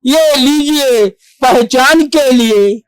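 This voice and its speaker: Stage actor for devoted, valiant monkey-god messenger: high voice, formal declamation, very drawn-out pacing, dramatic pauses. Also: clean tone, dry recording, rough recording. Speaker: stage actor in a monologue competition. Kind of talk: theatrical Hindi devotional stage monologue.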